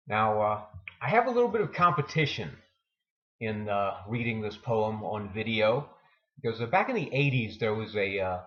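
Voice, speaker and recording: A man hosting a poetry reading, talking and reciting in a measured, deliberate manner. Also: on the low side, lo-fi sound. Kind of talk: informal introduction and poetry recitation.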